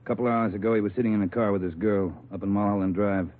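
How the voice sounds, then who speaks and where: An actor playing a curt, commanding, demanding chief of detectives in a 1950s radio police drama. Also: male voice, fairly low pitch, fairly even intonation, rapid-fire, close to an everyday voice. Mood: businesslike. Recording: tinny, slightly noisy vintage radio.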